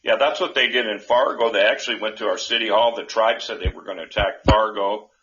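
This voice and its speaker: A man who hosts a call-in show as a candidate, answering questions in a halting, rambling way full of restarts. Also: fairly low pitch, steady tone, fairly bright tone. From North Dakota. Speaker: senate candidate, show host. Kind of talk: rambling live call-in chat. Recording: dull lo-fi live stream audio.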